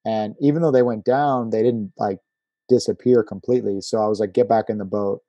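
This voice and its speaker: A man's voice: on the low side, fairly even intonation, brisk, dark tone, no echo, dull audio. A man hosting a podcast, chatting casually in a laid-back, conversational way. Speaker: podcast host. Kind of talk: casual chat.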